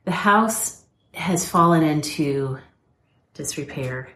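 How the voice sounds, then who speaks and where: A woman's voice: low voice, takes it slowly, a bit bright. A woman co-hosting a podcast, telling home stories in a casual, self-deprecating way and laughing easily. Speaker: podcast co-host. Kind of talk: casual chat. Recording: echoey room.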